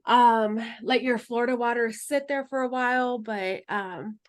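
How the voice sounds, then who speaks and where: A woman's voice: on the high side, measured pace. Laid-back woman leading an herb class. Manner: relaxed, chatty, laughs easily. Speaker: herbalism teacher. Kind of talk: casual teaching, then guided meditation.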